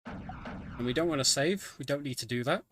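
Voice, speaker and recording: Bright-voiced man commentating a video game. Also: mid-range voice, normal speed, slight background noise. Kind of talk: live gameplay commentary, casual. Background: game music.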